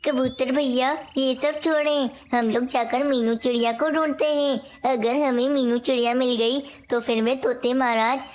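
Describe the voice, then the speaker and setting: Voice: sits high, fairly even intonation, moderate pace, few pauses, close to an everyday voice. A performer voicing a talking bird in a children's cartoon tale, speaking in simple storybook dialogue. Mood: earnest.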